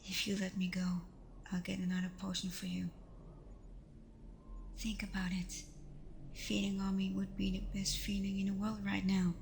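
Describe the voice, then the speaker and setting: Soft, even-toned vampire girlfriend played by a woman. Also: medium pitch, soft background music, lightly acted. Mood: firm, coaxing. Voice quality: soft, breathy, often near whisper. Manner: soothing, reassuring, unhurried. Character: gentle, protective vampire girlfriend, caring and patient. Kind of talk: ASMR roleplay.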